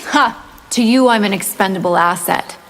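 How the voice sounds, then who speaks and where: A woman's voice: medium pitch, normal speed, natural voice. A woman voicing a cold, scheming winged vampire warrior who taunts her foes with disdain in a fighting video game. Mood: dry mockery. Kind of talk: video game character dialogue. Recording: long reverb, loud music behind.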